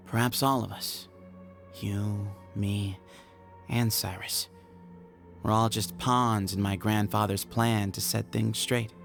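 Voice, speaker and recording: An actor playing a calm, reserved man in a video game, speaking in an unhurried, reflective manner. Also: on the low side, creaky, faint music behind.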